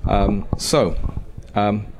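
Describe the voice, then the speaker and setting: A man's voice: on the low side, level tone, extremely slow, background noise. A man moderating a panel, asking questions hesitantly with many fillers.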